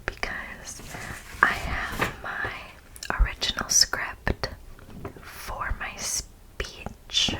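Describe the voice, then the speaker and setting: Slow whispering woman ASMR host. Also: low-quality recording. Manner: casual, excited, repeats words slowly. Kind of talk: ASMR, close whispering to viewers. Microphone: close microphone.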